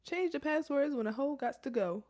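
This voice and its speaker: A woman co-hosting a podcast, chatting casually in an animated, jokey way and laughing often. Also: high-pitched, a little fast. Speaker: podcast co-host. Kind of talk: casual chat.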